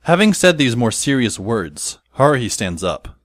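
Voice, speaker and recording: A man playing a wry, resigned teenage schoolboy who narrates an audio drama in a deadpan manner. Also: mid-range voice, moderate pace, dry recording, clean studio sound. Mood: wry, measured. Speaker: narrator and lead character. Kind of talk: first-person scripted audio drama narration. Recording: music behind the voice. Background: instrumental music.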